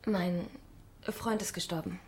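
A woman's voice: on the low side, fairly even intonation, slow, a little hiss or hum. An actress playing a plucky, stubborn young woman in her early twenties in a radio drama, cheeky and insistent. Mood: grave, insistent.

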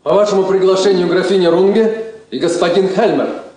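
An actor playing a formal court announcer, proclaiming ceremoniously. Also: male voice, high-pitched, fairly even intonation, fairly quick, crowd noise, close to an everyday voice. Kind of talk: Soviet stage drama acting. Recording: very echoey old drama recording.

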